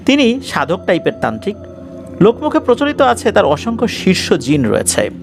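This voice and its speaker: A man's voice: high voice, huge pitch swings, moderate pace, vocal fry. A man narrating a scripted ghost story in a dramatic storytelling style. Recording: music bed behind the voice.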